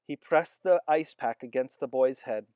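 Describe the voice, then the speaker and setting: A storyteller reading a children's chapter book aloud, with expressive narration. The recording is close and dry, with no room around the voice. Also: mid-range voice, moderate pace, clean tone, dry recording. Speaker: storybook reader.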